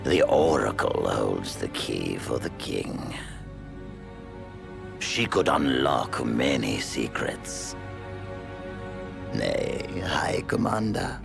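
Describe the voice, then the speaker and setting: A calculating imperial high commander with an extremely deep, heavily creaking voice. He is grave and formal, weighing his archaic phrases slowly over music, like a villain in a fantasy game cutscene. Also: sing-song, full character voice. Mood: grave, scheming. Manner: formal, measured, archaic diction. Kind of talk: video game cutscene acting.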